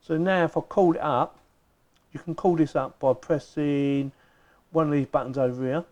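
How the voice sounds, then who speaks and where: A man's voice: a little high, fairly even intonation, normal speed, lo-fi sound, noisy background. A middle-aged man with an English accent, giving a casual, chatty hands-on product review in plain, informal words.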